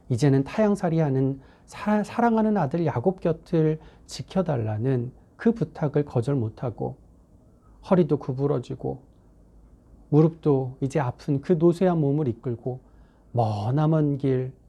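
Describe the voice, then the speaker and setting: A man preaching a sermon in an earnest, reflective storytelling manner, slowing down on key phrases. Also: medium pitch, mellow.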